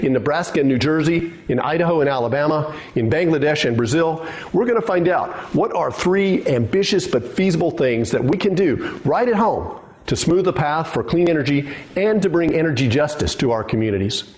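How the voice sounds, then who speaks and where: A man giving a steady, rallying scripted address to open a webinar. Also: fairly high pitch, a little fast, few pauses. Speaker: speaker in a recorded webinar intro.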